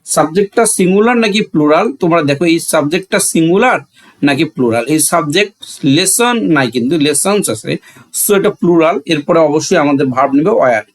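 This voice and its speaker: Male teacher, Bangladeshi accent, fairly high voice. Didactic classroom delivery with repeated key terms and frequent check questions. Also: animated, fairly quick. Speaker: English grammar teacher. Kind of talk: step-by-step classroom lesson.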